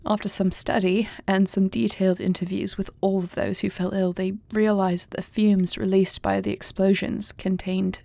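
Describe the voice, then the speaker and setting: Soft, creaky dragon princess, acted by a woman. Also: mid-range voice, level tone, no echo, natural voice. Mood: somber, subdued. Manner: formal, measured, soft-spoken. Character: young dragon princess, dutiful, grieving heir to the throne. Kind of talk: intimate audio roleplay acting.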